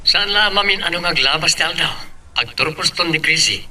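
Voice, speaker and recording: A man's voice: a little high, moderate pace, pushed and bright. A man playing a supportive husband and father working abroad in an amateur Ilocano drama. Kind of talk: Ilocano radio-style family drama acting. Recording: distant mic, background noise.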